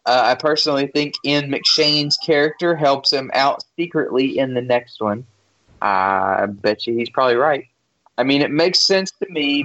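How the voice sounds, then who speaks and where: A man co-hosting a horror movie podcast in casual chat, relaxed and chuckling often, with plenty of fillers. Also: mid-range voice, muffled recording. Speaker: podcast co-host.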